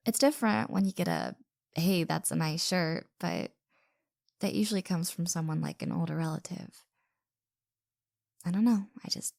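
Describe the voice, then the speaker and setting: A woman acting self-doubting young woman, audio roleplay, slow hesitant delivery, stammering, soft breathy near-whisper, dry close sound. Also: on the low side, no echo, exaggerated voice. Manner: hesitant, stammering, apologetic, sighing.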